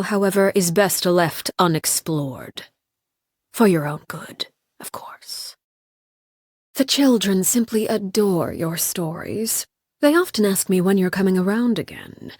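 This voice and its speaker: An actress playing a cold, composed, menacing female commander in a video game, speaking in a measured, formal, politely threatening way with a breathy voice. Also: lowish voice, darkish tone, no echo, studio quality. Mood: veiled threat. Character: cold, composed, menacing woman commander called Father by orphans. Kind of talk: scripted video game character voice lines.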